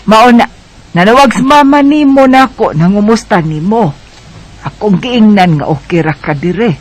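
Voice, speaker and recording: A man's voice: high voice, animated, unhurried, low-quality recording. A man with a Bisaya Filipino accent voicing a strict, protective village aunt with a firm, scolding manner in a radio drama. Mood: stern. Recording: faint background noise.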